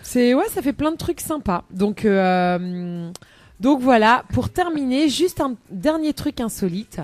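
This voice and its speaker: A woman's voice: on the high side, animated, takes it slowly, a little mellow, no room sound. Chatty woman radio columnist. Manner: rambling, lots of hesitations, laughs often. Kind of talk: chatty live radio segment. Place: radio studio.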